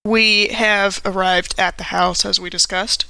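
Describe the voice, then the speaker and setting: Bright, creaky-edged, formal investigator, played by a woman. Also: mid-range voice, fairly even intonation, dry recording, poor audio. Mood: formal, businesslike. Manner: formal, deliberate wording. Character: precise, formal, businesslike doctor investigator. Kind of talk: in-character tabletop roleplay.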